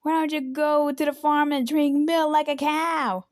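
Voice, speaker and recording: A woman voicing a rude, short-tempered cartoon character with a sharp, scolding manner. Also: high voice, steady tone, a little slow, no echo, clean studio sound. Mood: irritated, scolding.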